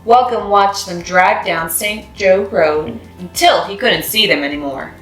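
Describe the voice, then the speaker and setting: A woman's voice: medium pitch, measured pace. A woman narrating a children's story expressively, as a read-aloud host. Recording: roomy echo, music behind.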